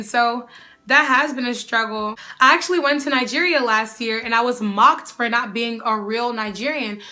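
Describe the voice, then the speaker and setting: A sassy young woman chatting straight to camera with a bright, ringing tone. She sits a little high in her range and keeps the banter lively as she answers viewer questions. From United States. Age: young adult. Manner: sassy, animated, often calls the viewer "girl". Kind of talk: casual Q&A vlog.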